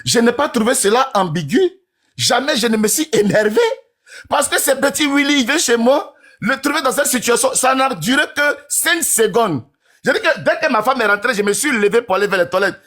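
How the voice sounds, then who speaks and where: A man's voice: extremely high pitch, lively intonation, a little fast, fairly bright tone. A man in his forties talking in a casual, rambling monologue to his followers, who keeps asking whether they understand. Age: 40s.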